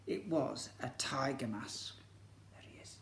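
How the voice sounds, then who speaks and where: A man's voice: medium pitch, measured pace, gravelly, whispered. A man reading a storybook aloud to young children like a teacher, expressively and with questions to the listeners. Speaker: infant school teacher reading to pupils. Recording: echoey room.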